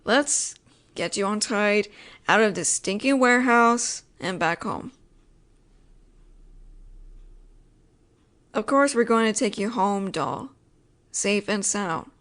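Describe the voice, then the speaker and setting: A woman's voice: mid-range voice, a bit bright. A woman playing an exasperated but apologetic mafia boss in a roleplay audio drama, with a wry, sighing, slangy way of talking. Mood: apologetic, reassuring.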